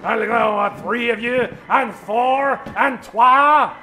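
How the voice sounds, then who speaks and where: A man playing an excitable, pompous scientist in an old-time radio comedy, theatrical and exclaiming. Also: very high-pitched, takes it slowly, full character voice. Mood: absorbed, puzzled. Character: excitable eccentric French male scientist, pompous and mad.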